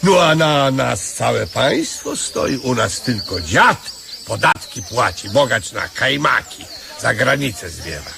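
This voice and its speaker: Creaky, stammering beggar man. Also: medium pitch, sing-song, slow pace, noisy background, heavily acted. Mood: wheedling. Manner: stammering, folksy, wheedling. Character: wily, anxious male beggar, secretly an educated artist. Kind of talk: radio comedy drama acting.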